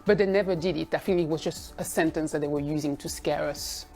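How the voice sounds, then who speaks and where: A woman from Burkina Faso, raised in Belgium, giving a measured, thoughtful account in an interview. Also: low voice, steady tone, mellow, faint music behind.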